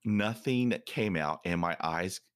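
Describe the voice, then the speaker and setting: Slow, low-voiced man with a stutter. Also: clear, resonant tone, dry recording, studio quality. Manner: animated storytelling with laughter. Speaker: podcast host. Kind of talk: interview with personal stories.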